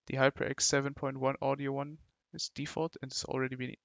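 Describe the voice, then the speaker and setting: A man narrating a step-by-step software tutorial in short, halting instructional phrases into a close mic. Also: medium pitch, little pitch movement, vocal fry, no echo, lo-fi sound. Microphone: close mic, screen-recording voice-over.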